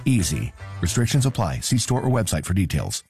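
A male ad voice at mid pitch that moves a lot, reassuring and smooth. Faint music sits behind him. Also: sing-song, fairly quick, darkish tone. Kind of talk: ad narration.

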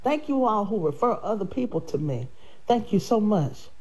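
A woman's voice: mid-range voice, sing-song, normal speed, low-quality recording. A woman hosting a radio show and selling life insurance. She talks in a chatty, grateful way with a nasal sound from her sinuses. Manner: warm, grateful, chatty, with laughs. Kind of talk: casual radio talk.

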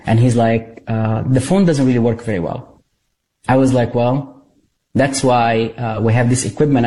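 A man's voice: mid-range voice, poor audio. A man from Egypt, a native Arabic speaker, recounting his intelligence work in an interview with frequent restarts and fillers. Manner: halting, many restarts and fillers, animated retelling. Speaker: guest, former intelligence operative. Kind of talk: storytelling interview.

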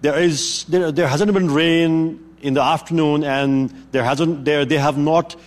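A man's voice: fairly high pitch, steady tone, a little slow. A man reporting live for a news program, giving a careful, earnest account with frequent restarts.

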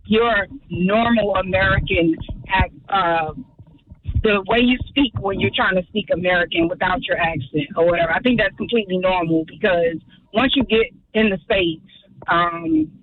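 A woman's voice: medium pitch, animated, normal speed, narrow, tinny audio. A woman calling in to a radio talk show, chatting casually and laughing often as she rambles on. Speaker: caller. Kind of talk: casual call-in chat.

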